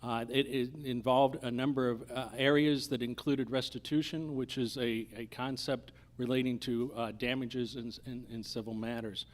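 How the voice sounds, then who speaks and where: Man, middle-aged or older, medium pitch, judicial candidate, halting, earnest closing statement. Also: steady tone. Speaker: candidate for district judge.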